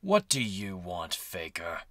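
A man's voice: low voice, very animated, slow, pushed and bright, heavily acted. A man playing an aloof, sardonic cartoon hedgehog rival, curt and dismissive, in a fan audio drama.

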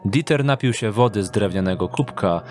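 A man's voice: lowish voice, expressive, moderate pace, darkish tone. A man reading scripted narration for an audio drama as its storyteller. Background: background music and street ambience.

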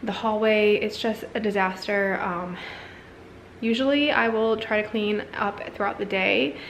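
Female home vlogger, 30s, casual and chatty, mid-pitched, slight room noise behind. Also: fairly even intonation, unhurried. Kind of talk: casual chatty vlog talk. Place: indoors in a house living area.

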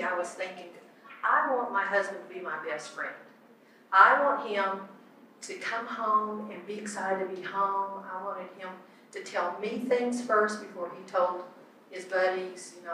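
A woman's voice: mid-range voice, sharp tone, slight background noise. An older woman telling about her marriage on a church panel, rambling and correcting herself as she talks into a handheld mic. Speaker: pastor's wife. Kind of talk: sharing her story on a panel. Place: church stage, large room. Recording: echoey hall, heard from far off.

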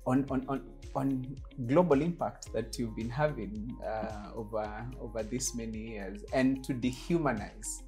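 A talk show host greeting a guest in a studio lounge, effusive and formal, often repeating words while searching for them. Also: female voice, rumbling low, a little slow, hardly any pauses, dark tone, soft background music. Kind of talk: warm formal interview introduction. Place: studio lounge set, indoors.

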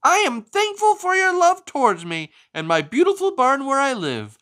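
A man's voice: very high voice, wildly swooping pitch, moderate pace, bright, forceful tone, voice pushed up, full character voice. A man voicing a jolly, sweet-natured turkey who warbles gobbles and speaks in an earnest, singsong way in a children's story. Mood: joyful, grateful.

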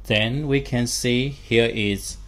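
A man giving a hands-on instructional demonstration as a product demonstrator, speaking haltingly in short phrases in a small room. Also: medium pitch. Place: small indoor room with a work table. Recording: steady background room noise.

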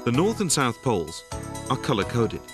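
A man giving scripted, measured narration for a factory training film, recorded as a studio voice-over. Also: medium pitch, huge pitch swings. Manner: measured, explanatory. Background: background music.

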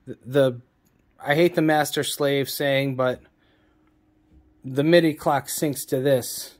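A man's voice: medium pitch, fairly even intonation, slow pace. Man, 40s, songwriter, casual vlog, rambling, self-correcting, phone held at arm's length, small room. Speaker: songwriter and producer.

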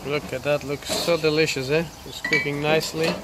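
A man's voice: a little high, level tone, a little fast. A man from Portugal with a Portuguese accent, co-hosting a cooking vlog outdoors and cheerfully commenting, often ending lines with a questioning "eh?". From Madeira, Portugal. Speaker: co-host. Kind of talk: casual commentary. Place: small back garden, outdoors. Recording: outdoor recording with clear background noise.

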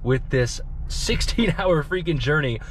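A man's voice: on the high side, animated, a little slow. A man in his thirties vlogging while driving, chatting in a rambling way and getting tired and loopy. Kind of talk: casual driving vlog. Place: inside a moving car. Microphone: dash camera in the car. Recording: loud road noise in a car. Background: loud road and engine noise.

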